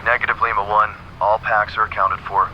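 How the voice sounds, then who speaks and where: Male actor as official range-control operator, lowish voice, noisy radio effect, audio drama. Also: steady tone, a little fast. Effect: military radio. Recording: dull radio transmission with clear noise.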